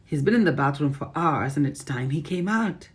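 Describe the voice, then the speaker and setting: A woman voicing an elderly grandmother, calm and affectionate, in a storybook reading. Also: deep voice, moderate pace, slightly rough. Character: tender, cool-headed elderly grandmother.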